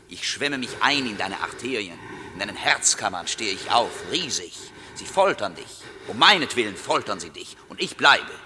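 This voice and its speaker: An actor playing a haunting, relentless inner voice in a radio drama, gravelly, solemn and poetic in delivery. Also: male voice, fairly low pitch, pushed and bright, a little hiss or hum. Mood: mounting intensity. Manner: solemn, deliberate, poetic declamation. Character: haunting male inner voice of resistance, relentless, intimate, menacing.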